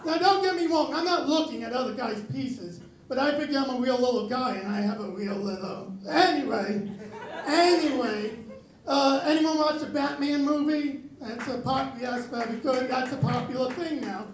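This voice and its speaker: A young man doing a self-mocking stand-up set with a very raspy, high voice, on a club stage. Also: moderate pace, slight background noise. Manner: self-mocking deadpan delivery. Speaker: stand-up comedian. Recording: echoey club with crowd. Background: audience laughter.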